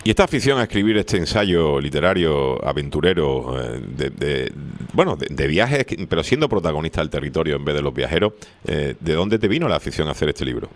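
A man's voice: a bass voice, big pitch swings, fairly quick. A middle-aged or older man with a hybrid southern Spanish and Canarian accent, hosting a casual, chatty radio interview in a familiar, exclamatory way. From Seville, Spain.

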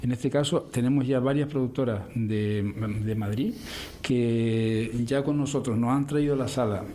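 A man's voice: mid-range voice, steady tone, normal speed, mellow, slight background noise. A man running a theatre, speaking as a radio guest, rambling and hesitant with many restarts. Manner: rambling, hesitant, repeats words. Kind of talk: casual radio interview.

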